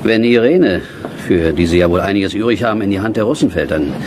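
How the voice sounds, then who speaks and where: An actor playing a blunt, war-weary soldier in a German radio drama. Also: male voice, lowish voice, lively intonation, brisk, background noise, close to an everyday voice. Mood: accusing.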